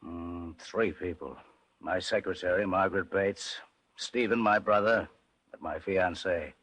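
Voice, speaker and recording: An actor playing a gruff, aggrieved middle-aged millionaire businessman in an old-time radio drama, blunt and impatient. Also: sits low, measured pace, fairly bright tone, no echo, low-quality recording. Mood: worried, defensive. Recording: vintage radio drama recording.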